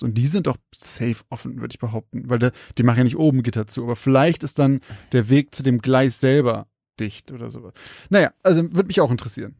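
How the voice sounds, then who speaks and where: A man's voice: mid-range voice, creaky, mellow, no room sound, clean studio sound. A man, talking casually as co-host of a podcast. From Germany. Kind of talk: casual chat.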